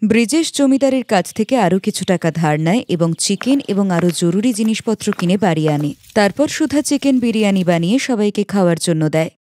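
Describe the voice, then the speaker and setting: A woman's voice: medium pitch, lively intonation, fairly quick, few pauses, dark tone, dry recording. A woman reading a scripted children's moral story as its narrator.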